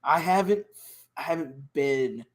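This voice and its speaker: A man with a raspy voice co-hosting a sports podcast, chatting loosely with restarts. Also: fairly high pitch, lively intonation, measured pace. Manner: loose, rambling fan talk with restarts. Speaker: podcast co-host. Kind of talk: casual sports podcast chat.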